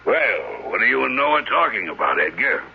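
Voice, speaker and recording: An actor playing a puzzled man questioning his friends in a radio drama, with a husky, gravelly voice. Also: lowish voice, sing-song, normal speed, exaggerated voice. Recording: slightly muffled old radio broadcast.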